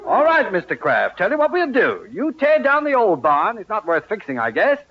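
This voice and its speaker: An actor playing an exasperated, gullible middle-aged homeowner in an old-time radio comedy, indignant and sputtering. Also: male voice, sits high, wildly swooping pitch, moderate pace, slightly rough, highs cut off, heavily acted. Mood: resigned.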